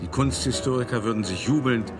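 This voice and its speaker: An actor playing a cultured, self-satisfied male art forger, confessing in a measured, reflective, articulate radio drama monologue. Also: mid-range voice, music in the background, natural voice. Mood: quietly scheming. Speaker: first-person narrator.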